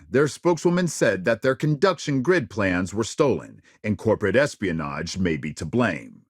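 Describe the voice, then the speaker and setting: An actor playing a polished, dramatic male news anchor in a sci-fi game, with crisp, measured, emphatic broadcast phrasing. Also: on the low side, no echo, studio quality. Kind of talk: scripted broadcast news delivery.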